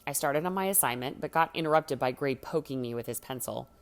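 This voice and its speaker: Low-voiced woman reading a story expressively. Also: fairly quick. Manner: dramatic, acts out the dialogue. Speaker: story reader. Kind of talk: expressive scripted reading of a first-person teen story.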